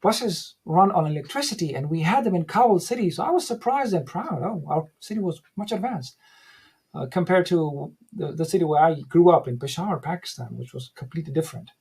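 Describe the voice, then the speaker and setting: A middle-aged man from Afghanistan, speaking as an interview guest, recalling his past in a measured, reflective way with frequent restarts. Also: a little high, expressive, a little mellow, slightly rough, rough recording. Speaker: guest, academic center director. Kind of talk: interview, personal recollection.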